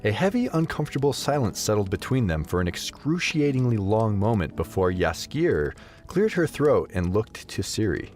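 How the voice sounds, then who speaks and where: Man, deep voice, dramatic scripted narration, lively intonation, faint music underneath. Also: moderate pace.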